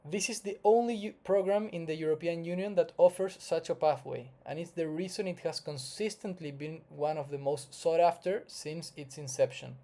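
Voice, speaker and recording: Male consultant-presenter, Chilean Spanish accent, slightly high yet very mellow voice, earnest and formal explainer read. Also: moderate pace, few pauses. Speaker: investment migration consultant presenting. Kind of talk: scripted explainer narration to viewers.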